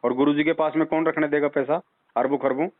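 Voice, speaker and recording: A man's voice: on the high side, fairly even intonation, quick pace. A man on a phone call, giving religious advice in an insistent, admonishing way and pressing his points with rhetorical questions. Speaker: spiritual advisor taking a caller's doubts. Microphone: phone line. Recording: tinny, band-limited phone call recording.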